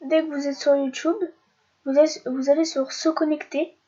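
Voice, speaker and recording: High-voiced young woman with a cold. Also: level tone, moderate pace, dark tone, dull audio. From France. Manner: hesitant, many filler sounds and restarts. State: stuffy with a cold. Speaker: YouTuber. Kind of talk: screen-recorded tutorial narration.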